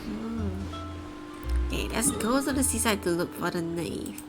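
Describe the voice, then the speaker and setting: A woman's voice: mid-range voice, animated, measured pace, loud music behind the voice. A woman chatting casually as she live-streams a game, close to her microphone.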